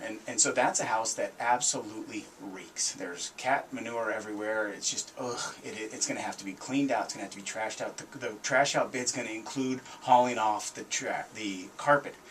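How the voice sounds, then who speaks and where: A middle-aged American man with a fairly low voice talks casually as a radio host. He tells stories conversationally and leans on repetition for emphasis. There is some noise behind him. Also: fairly bright tone. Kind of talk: casual radio talk, storytelling. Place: small foam-treated radio studio, indoors. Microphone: studio microphone on boom arm.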